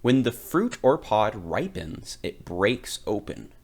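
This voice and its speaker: A man reading a children's picture book aloud, phrasing it deliberately and with expressive emphasis. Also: lowish voice. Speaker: read-aloud reader.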